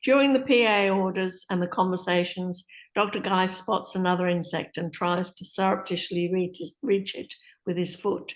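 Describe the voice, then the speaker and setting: A woman's voice: on the low side, steady tone, normal speed, hardly any pauses, poor audio. A woman reading stage directions as scripted narration for a play.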